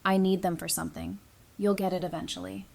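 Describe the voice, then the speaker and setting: A woman's voice: lowish voice, level tone, a little fast, creaky. A woman playing an easygoing, friendly and sincere survivor in an audio drama. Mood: casual, reflective. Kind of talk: fan audio drama acting.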